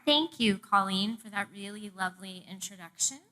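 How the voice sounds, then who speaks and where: A woman's voice: medium pitch, moderate pace, very noisy. A middle-aged woman from the American South gives a prepared public lecture as a professor, with personal asides. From Charleston, South Carolina, US. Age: 40s. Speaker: university professor, presenter. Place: public talk in a museum event room.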